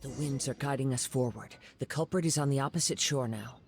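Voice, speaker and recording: A man playing a calm, poetic young wandering swordsman in an anime game, speaking in measured, eloquent phrases. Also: medium pitch, slight background noise, close to an everyday voice. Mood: calm, assured. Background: background music.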